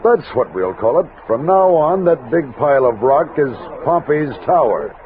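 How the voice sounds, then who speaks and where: An actor playing a steady army captain who narrates and gives firm orders in a 1960s Western radio drama. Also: male voice, a little high, normal speed, lightly acted. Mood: easygoing. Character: steady commanding army captain leading a frontier expedition. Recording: thin lo-fi old radio recording, hiss.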